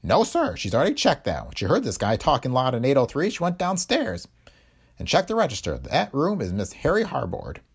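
A man's voice: mid-range voice, huge pitch swings, fairly quick, husky, pitched above the performer's own voice, full character voice. A man voicing a crafty, cackling old male detective who talks in a folksy, ungrammatical way. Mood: gleeful.

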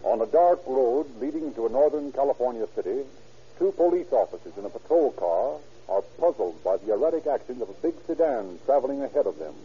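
A man's voice: mid-range voice, lively intonation, brisk, mellow, a little hiss or hum. A male announcer with a formal, ceremonious 1930s radio delivery, on a dull old recording.

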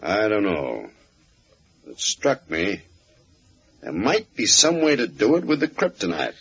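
A man's voice: on the low side, wildly swooping pitch, a little slow, slightly rough, heavily acted. Male, assured ruthless gang boss, 1940s radio drama, dull vintage recording. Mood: scheming. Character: burly male crime boss, confident and ruthless. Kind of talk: 1940s radio serial acting.

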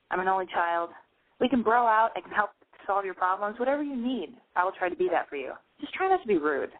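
A woman with a lisp hosting a casual call-in show, rambling, excitable and self-deprecating. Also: mid-range voice. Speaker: radio show host. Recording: tinny phone-like sound.

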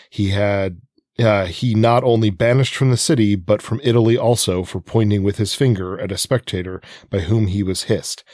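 Lower-voiced man, halting podcast co-host. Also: a little mellow, dry recording, clean studio sound. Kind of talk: conversational literary discussion with read-out citations.

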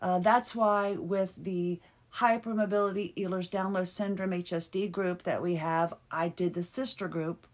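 Earnest halting woman, lowish voice. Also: fairly even intonation. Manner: halting, with frequent restarts and repeated words; earnest and heartfelt, with bursts of laughter. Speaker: podcast host. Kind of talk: casual solo podcast monologue.

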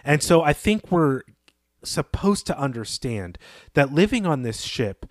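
A man's voice: a little high, very animated, no echo. Analytical man with a close, dry sound. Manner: analytical, measured, explanatory. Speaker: podcast co-host. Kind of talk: literary discussion.

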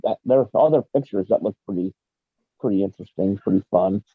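A man's voice: fairly low pitch, fast, husky, dry recording. A man guesting on a podcast, telling a story in an easygoing, plain way over casual chat. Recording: dry, tinny phone-like line.

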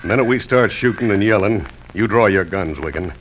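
An actor playing a commanding, ruthless outlaw boss with an American accent in a vintage radio western. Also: male voice, fairly low pitch, expressive, fairly quick, a bit bright, slight background noise. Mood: commanding, scheming. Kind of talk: 1950s radio western acting. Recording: muffled old radio recording.

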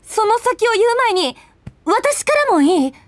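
A woman's voice: extremely high pitch, no echo, heavily acted. A woman acting in a radio drama as a flustered, headstrong office worker in her twenties who is secretly in love, with emotive delivery. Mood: embarrassed, stubborn. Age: young adult. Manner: animated, emotive, quick to protest. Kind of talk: Japanese radio drama acting with inner monologue.

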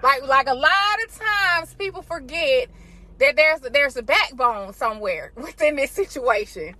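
A woman hosts a podcast interview in a relaxed, conversational way. Her voice is high and bright, and she keeps agreeing with her guest while she asks her questions. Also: measured pace. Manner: affirming, lots of "yeah" and "I agree". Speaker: podcast host. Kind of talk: casual interview.

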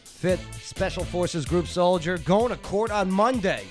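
A man's voice: sits high, faint music behind. A man hosting a live radio talk show, joking and teasing his co-hosts. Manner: joking, teasing, quick asides.

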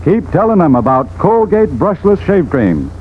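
A man's voice: a little high, sing-song, moderate pace. A man playing a satisfied ballplayer reading out a testimonial letter in a 1940s radio ad, emphatic and plainspoken. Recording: tinny, noisy old radio recording.

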